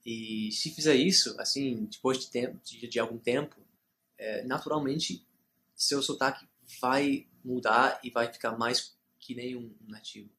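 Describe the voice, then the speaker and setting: A man giving casual advice in a halting way, repeating words, recorded from a distance. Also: medium pitch, sharp tone.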